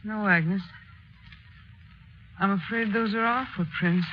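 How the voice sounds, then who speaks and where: A woman playing an exhausted, gently reassuring mother in a 1930s radio drama, speaking in a subdued, soothing way. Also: medium pitch, takes it slowly, natural voice. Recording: noisy, muffled old radio broadcast.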